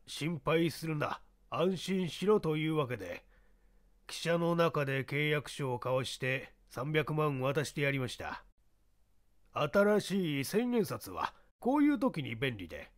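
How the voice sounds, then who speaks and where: Man as a shrewd, lively-voiced baseball scout. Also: a little high. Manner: measured, explanatory, worldly. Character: shrewd, self-assured baseball scout under police questioning. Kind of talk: radio-drama style mystery reading.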